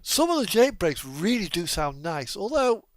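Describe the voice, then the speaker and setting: High-pitched, animated man chatting casually. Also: moderate pace, no echo, studio quality. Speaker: video game streamer. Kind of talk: casual gaming chat.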